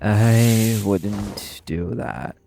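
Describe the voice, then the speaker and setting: A man's voice: lowish voice, drawn-out words, gravelly, over loud music, full character voice. A man playing a cold, cynical, threatening vampire in an urban fantasy audio roleplay, speaking in a blunt, coolly dismissive manner. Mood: quiet menace.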